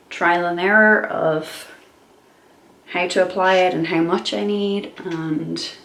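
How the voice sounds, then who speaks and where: Young woman, nasal from a cold, rambling chatty makeup talk, lowish voice, echoey room, faint hum. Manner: chatty and rambling, many fillers. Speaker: beauty vlogger. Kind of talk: casual chat while doing makeup.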